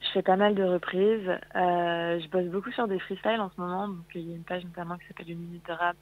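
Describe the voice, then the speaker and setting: A woman's voice: fairly low pitch, steady tone, fast. Young woman on tinny phone line. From Paris, France. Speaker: singer, interview guest. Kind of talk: casual interview chat.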